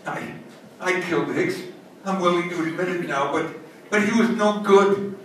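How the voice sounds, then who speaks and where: An actor playing a bitter, desperate condemned murderer in impassioned, stammering old-time radio style. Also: male voice, high voice, normal speed, fairly bright tone. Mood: pleading, defensive. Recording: very echoey, distant stage recording, faint noise.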